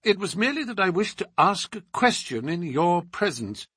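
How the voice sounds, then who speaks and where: A man's voice: sits high, very animated, moderate pace, a bit bright, breathy edge, no echo, studio quality, pitched above the performer's own voice, exaggerated voice. A man playing a shrewd detective in an audiobook, teasingly polite and commanding, with precise, probing questions.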